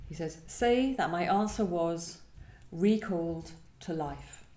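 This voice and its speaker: A woman's voice: on the low side, animated, slow pace, mellow. A woman poet speaking at a live event as she introduces and reads her work, thoughtful and a little tentative. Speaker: guest poet. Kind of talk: live poetry event, introducing and reading poems. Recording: echoey room.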